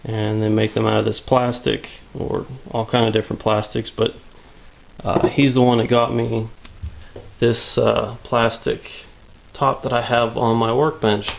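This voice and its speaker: Man, workshop tour, gravelly, raspy and a bit winded, slow rambling talk, flat delivery. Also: medium pitch, a little hiss or hum, rough recording. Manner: relaxed, rambling explanations with many fillers. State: out of breath and voice getting raspy. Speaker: prop maker giving a workshop tour. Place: hot, humid home workshop.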